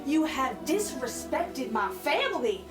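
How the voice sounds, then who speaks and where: A woman's voice: high voice, sing-song, slow pace, full character voice. A woman playing a feisty, melodramatic young girl in a 1940s family radio play, indignant and dramatic in delivery. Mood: theatrically vengeful. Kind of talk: holiday radio play acting. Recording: echoey room, distant mic, music behind.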